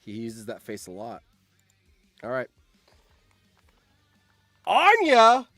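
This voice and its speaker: A man hosting a live stream, reading out game events and reacting in an animated, exclamatory way with repeated phrases. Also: medium pitch, slow pace, faint music behind.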